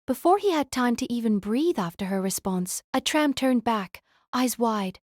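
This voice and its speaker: A woman reading a novel as audiobook narrator, in a measured, literary storytelling manner. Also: fairly high pitch, animated, a little mellow, no room sound, studio quality.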